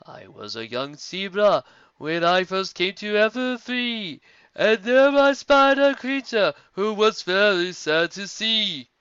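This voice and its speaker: A man voicing a solemn female zebra who tells a tale in slow sung rhyme. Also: sits high, fairly bright tone, clean tone, no room sound, pitched above the performer's own voice. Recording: dull lo-fi audio.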